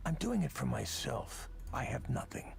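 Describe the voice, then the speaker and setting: A gravelly, weary tech boss in a visual novel. He is defensive and self-justifying, speaking deliberately, with a voice that swings widely in pitch. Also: sing-song. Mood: confessional, bleak. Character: self-justifying, drunken male tech project leader, weary and brooding.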